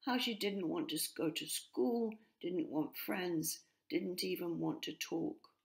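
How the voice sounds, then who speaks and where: A woman narrating a children's story as its author, measured and expressive. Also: lowish voice, vocal fry.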